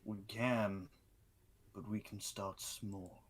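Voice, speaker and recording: A man's voice: fairly low pitch, fairly even intonation, slow pace. A man speaking casually and in character as a player in an online tabletop game.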